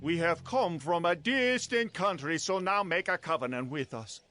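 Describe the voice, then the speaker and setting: Humble, higher-voiced pleading envoy. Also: male voice, expressive, normal speed, pushed and bright, faint music behind. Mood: respectful, earnest. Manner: earnest, deferential. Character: humble pleading Gibeonite envoy, a man in worn clothes. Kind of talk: dramatized Bible audio acting.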